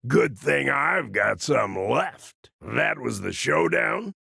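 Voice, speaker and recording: A man voicing a gruff, cocky, aging cyborg fighter in a video game, a folksy wisecracking old-timer with a gravelly rasp and a drawling delivery. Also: medium pitch, sing-song, takes it slowly, sharp tone, dry recording, studio quality, full character voice. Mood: wry, folksy. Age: older. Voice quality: gravelly, raspy. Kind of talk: video game character voice lines.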